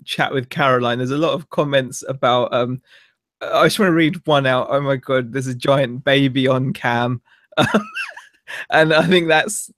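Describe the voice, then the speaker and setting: A man's voice: mid-range voice, a bit bright, no room sound, studio quality. A man co-hosting a casual live-stream chat, giggly and self-mocking, with many fillers. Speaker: co-host.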